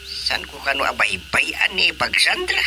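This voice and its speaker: A man's voice: mid-range voice, huge pitch swings, unhurried, background noise. An actor playing a plain-spoken elderly father with an Ilocano Filipino accent in a radio drama. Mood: confiding.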